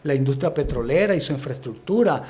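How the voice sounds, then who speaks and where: A man's voice: medium pitch, lively intonation, brisk. A man born in Venezuela giving emphatic political commentary as an interview guest, explaining at length and stacking up his points. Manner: forceful, explanatory, builds lists of accusations. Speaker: guest analyst.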